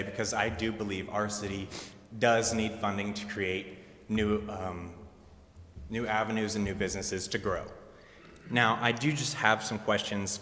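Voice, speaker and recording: A man's voice: lowish voice, fairly bright tone. A man speaking as a citizen at a council meeting, methodically putting questions in a public comment. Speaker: citizen questioner, trained in law. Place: indoor council chamber. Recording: echoey reverberant meeting hall.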